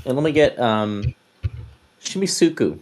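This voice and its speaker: A man's voice: mid-range voice, a little slow, slight background noise. A man playing a tabletop roleplay game, bantering casually in character in a chatty, matey manner. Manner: chatty, matey, animated, saying "mate" often.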